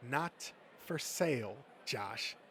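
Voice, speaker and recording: A man's voice: medium pitch, lively intonation, extremely slow, bright, forceful tone, exaggerated voice. A man voicing a hostile, contemptuous gatekeeping nerd in a comic dub, with a sneering, foul-mouthed manner and noise in the recording. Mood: smug. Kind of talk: comic dub character acting.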